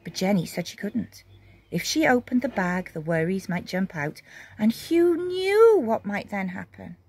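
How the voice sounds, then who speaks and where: A woman reading a children's story expressively, with dramatic pauses and emphasis. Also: mid-range voice, big pitch swings, darkish tone, slightly rough.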